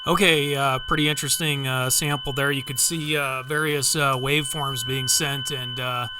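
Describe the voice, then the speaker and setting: A man hosting a video, explaining in an unhurried, halting way, pausing often as he walks through examples. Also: medium pitch, fairly even intonation, over music. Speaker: host.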